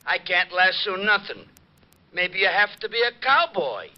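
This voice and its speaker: An actor playing a dim-witted, literal-minded police sergeant in a vintage radio detective drama. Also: male voice, high voice, sing-song, a little slow. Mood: sulky. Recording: dull, quiet old radio recording.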